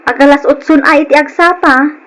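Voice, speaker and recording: An actress playing a cheerful, dutiful young country wife in a radio drama, with an Ilocano accent. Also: female voice, high voice, steady tone, fairly quick, fairly bright tone. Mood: friendly, homely. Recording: old radio drama, faint noise.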